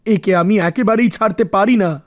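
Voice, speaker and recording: A man's voice: sits high, normal speed, natural voice. A man voicing a stern, unyielding god of death in a cartoon, pronouncing verdicts solemnly. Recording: music under the voice.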